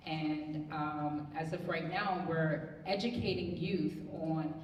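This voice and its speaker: A woman's voice: deep voice, fairly even intonation, slow, a little mellow. A woman from Harlem, introducing herself on a community panel in an earnest, motivational way. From Harlem, New York. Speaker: panelist, community health activist. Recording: echoey hall, distant mic, some noise.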